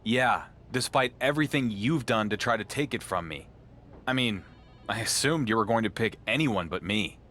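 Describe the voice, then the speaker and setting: Low-voiced, distrustful wrestler. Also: male voice, animated, normal speed, fairly bright tone, a little hiss or hum. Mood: wary. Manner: skeptical, terse. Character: distrustful, guarded male champion wrestler. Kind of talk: video game story cutscene dialogue.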